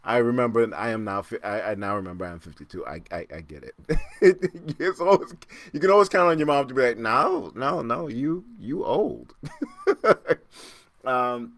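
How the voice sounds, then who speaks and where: A man's voice: medium pitch, very animated, moderate pace, a bit bright. A man in his fifties hosting a casual solo podcast, rambling and self-mocking with frequent restarts and chuckles. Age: 50s. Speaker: podcast host.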